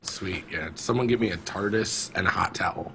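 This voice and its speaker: A man co-hosting a podcast with a deep, calm voice, chatting casually. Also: moderate pace, a little hiss or hum, rough recording. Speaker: podcast co-host. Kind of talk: casual chat.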